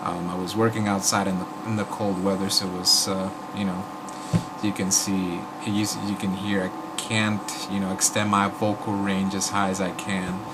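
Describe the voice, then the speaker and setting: A man with a raspy voice from a sore throat, chatting casually to viewers and rambling with many fillers. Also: sits low, level tone, normal speed, over loud music, rough recording. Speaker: YouTube host. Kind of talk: casual vlog commentary.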